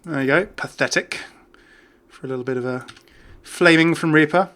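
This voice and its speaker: A man's voice: fairly high pitch, moderate pace, a bit bright. Chatty man doing gaming commentary. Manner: chatty, jokey. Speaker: gaming video host.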